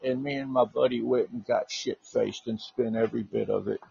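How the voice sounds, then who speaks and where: A man's voice: medium pitch, steady tone, normal speed, vocal fry, warm tone, husky. A man in his fifties chatting casually, blunt and rambling, with a lot of swearing. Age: 50s. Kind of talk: casual chat, profane. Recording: muffled lo-fi audio, noisy background, clicks.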